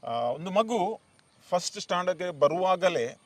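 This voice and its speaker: A man's voice: high voice, animated, a little slow. A man hosting an interview conversationally, often mixing in English words. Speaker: show host, interviewer.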